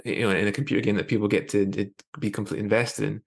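A man's voice: lowish voice, fairly even intonation, very fast, gravelly. A man in his thirties casually interviewing someone as a podcast host on a video call. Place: indoor room, on a video call.

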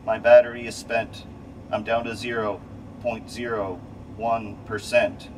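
A man voicing an obliging little storybook robot companion, measured and matter-of-fact. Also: on the low side, natural voice. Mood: weary. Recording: distant mic, loud steady hum.

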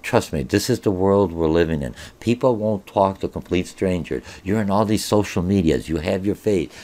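A man's voice: low-pitched, expressive, moderate pace, warm tone. A middle-aged man, a college business instructor whose first language is Polish, giving an informal online course orientation with many filler sounds. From born in Germany.

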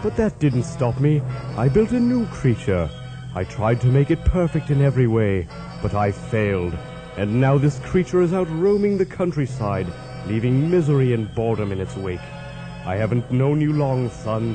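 A man's voice: medium pitch, big pitch swings, normal speed, over music, close to an everyday voice. A man playing an earnest, fussy, paternal mad-scientist uncle in a comic radio play. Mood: grave, paternal. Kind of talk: radio play comedy acting.

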